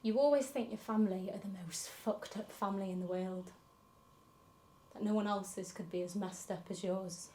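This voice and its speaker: An actress plays a grieving granddaughter. She speaks at a middle pitch in a reflective, confessional film monologue. The location sound is noticeably noisy. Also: steady tone, moderate pace, mellow, close to an everyday voice. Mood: wry, reflective. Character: grieving granddaughter reflecting on her fractured family. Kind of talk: dramatic monologue in a short film.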